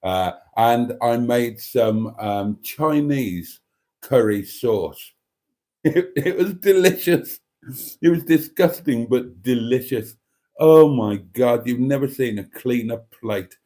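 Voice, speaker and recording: A man's voice: medium pitch, expressive, slow pace, dark tone. A man hosting a casual livestream, reading out viewer comments in a chatty, cheeky way and calling his audience darlings. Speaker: livestream host. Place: indoors at home, chilly room.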